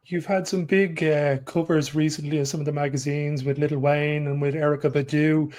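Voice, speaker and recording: A man's voice: fairly high pitch, little pitch movement, moderate pace, darkish tone. A man hosting a podcast interview, asking polite, formally worded questions. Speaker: podcast host.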